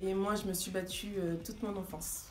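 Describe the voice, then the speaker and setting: A woman's voice: medium pitch, steady tone, moderate pace, a little mellow, reverberant, soft background music. A woman in her twenties, born in Guinea and raised in France, chatting casually and giggling as a vlogger. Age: 20s. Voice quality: giggly, rambling. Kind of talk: casual chat.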